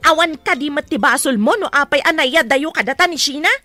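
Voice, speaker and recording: Woman acting a high, bright, pleading mother. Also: brisk, no room sound, soft background music. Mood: defensive. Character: worried mother pleading for her child, defensive, emotional. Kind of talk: radio drama acting.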